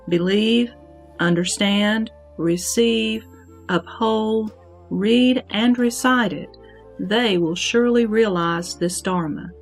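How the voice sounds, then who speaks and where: Slow, reverent woman as a devout deity. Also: mid-range voice, slightly rough. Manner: solemn, measured, reverent. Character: Sakra, devout deity and protector pledging to the Buddha. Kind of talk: scripted sutra recitation in audio drama. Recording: music bed under the voice.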